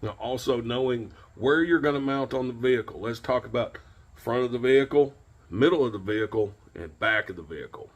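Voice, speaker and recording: A man's voice: mid-range voice, a little fast, highs cut off. A man in his forties giving an informal, plain-spoken instructional demonstration outdoors, repeating key points for emphasis. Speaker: host of CB radio tips videos. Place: outdoors in a home driveway beside a pickup truck.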